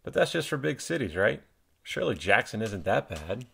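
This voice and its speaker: A man's voice: fairly low pitch, big pitch swings, normal speed, creaky, sharp tone, husky. A man with an American accent reading scripted documentary narration. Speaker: narrator and nature photographer. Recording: music behind. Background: music.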